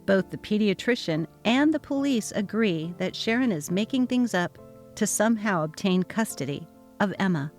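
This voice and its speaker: A woman's voice: mid-range voice, lively intonation, normal speed, soft background music. A woman narrating a story in text-message form, voicing the young mother with indignant expression. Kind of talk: dramatic reading of text messages.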